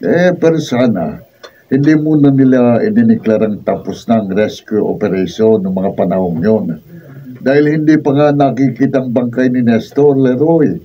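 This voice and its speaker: Man acting thoughtful, mid-pitched Filipino village man. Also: lightly acted. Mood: pensive. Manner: reasoning, deliberate. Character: thoughtful, doubtful village man weighing a disappearance. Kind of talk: Filipino radio drama acting. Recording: muffled, distant mic, background noise.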